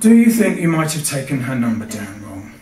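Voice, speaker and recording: A man voicing an older policeman who speaks deliberately and condescendingly, as if to small children. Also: mid-range voice, big pitch swings, mellow, natural voice. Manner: deliberate, as if addressing kindergarten. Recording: echoey hall, distant microphone.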